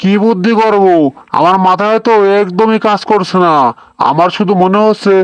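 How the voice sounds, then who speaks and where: A cartoon village husband, acted by a man, easily scared and full of pleading exclamations. His voice is high and smooth, recorded close and dry. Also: steady tone, normal speed, no room sound, lightly acted. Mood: frightened, alarmed. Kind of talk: Bengali cartoon voice acting.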